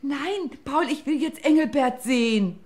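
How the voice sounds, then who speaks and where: A woman's voice: high-pitched, expressive, a little slow, a little mellow. A woman playing a gushing, easily exasperated wife in a comic radio play. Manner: gushing, dramatic, scolding. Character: swooning, exasperated wife besotted with TV singer. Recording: old radio play with music behind.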